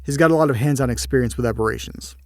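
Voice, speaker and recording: An actor playing a polite field agent who reports on cases in a measured, slightly tentative way in an audio drama. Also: male voice, mid-range voice, no echo, lightly acted. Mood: thoughtful, tentative.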